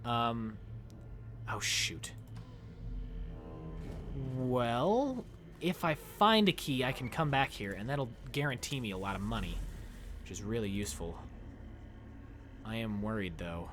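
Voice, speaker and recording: Young man, lively voice, headset mic, faint game music behind. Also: mid-range voice, a little slow, pauses often. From United States. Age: young adult. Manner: chatty, animated, talks to chat while playing. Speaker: streamer. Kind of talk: casual gameplay commentary with chat. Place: small room indoors.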